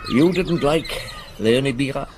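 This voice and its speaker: A man's voice: mid-range voice, wildly swooping pitch, measured pace, noisy background. A man playing a genial, shrewd middle-aged detective in a radio drama, questioning in an avuncular, probing way. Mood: gentle, probing.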